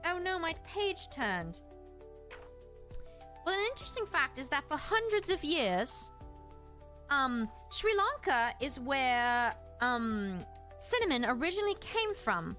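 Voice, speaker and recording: Woman, British accent, bright crisp-edged tone, animated intonation, gracious explanatory streamer teaching, faint background music. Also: sits high, slow pace. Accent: British, put on. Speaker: live streamer and host giving a lesson. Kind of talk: livestreamed educational lesson with chat replies.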